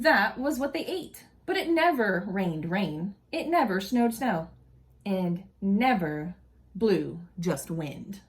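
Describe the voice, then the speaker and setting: A woman reading a children's picture book aloud expressively, with deliberate phrasing and asides for young listeners. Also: medium pitch.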